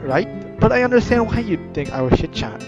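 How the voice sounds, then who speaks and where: A man voicing a bubbly, cheerful teenage schoolgirl in a visual novel, speaking in a high, giggly voice. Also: very animated, fairly quick, lo-fi sound, music in the background. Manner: high-pitched, giggly, repeats herself.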